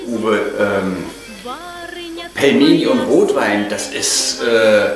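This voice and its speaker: A man's voice: on the low side, lively intonation, slow pace. An older man chatting casually through a home cooking vlog, full of hesitations, on a lapel mic in his kitchen. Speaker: vlogger. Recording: echoey kitchen, mic at a distance, music behind.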